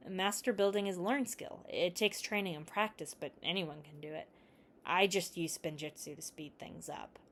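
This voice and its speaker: A woman's voice: lowish voice, normal speed, a bit bright, slight background noise. A woman voicing a friendly, slightly sheepish young male ninja builder who explains things casually in a story reading. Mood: casual explaining.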